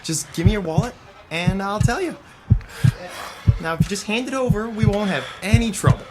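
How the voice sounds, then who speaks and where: An actor playing a mocking, impatient young mugger in an audio drama, with a brash, taunting manner. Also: male voice, sits high, moderate pace, natural voice. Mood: taunting, menacing. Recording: very noisy street scene.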